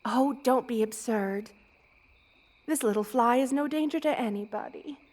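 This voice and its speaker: A woman playing a motherly, regal spider queen who is gentle and protective, in a tender ASMR roleplay. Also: fairly high pitch, moderate pace, natural voice. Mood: commanding. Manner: tender, cooing, doting, with regal commands.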